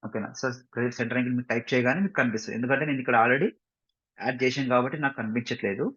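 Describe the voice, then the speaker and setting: Fast-talking Indian man teaching software. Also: mid-range voice, steady tone, slightly rough. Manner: repeatedly checks understanding with short questions; mixes English phrases into his explanations. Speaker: software instructor. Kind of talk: informal step-by-step screen tutorial. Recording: dull, muffled lo-fi microphone.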